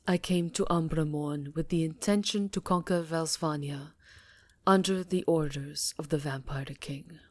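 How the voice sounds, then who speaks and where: An imperious vampire countess, performed by a woman confides in her lover in an intimate ASMR roleplay. Her voice sits low and moves slowly, with measured drama that slips into breathy whispers. The sound is close and dry. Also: a little mellow, no echo.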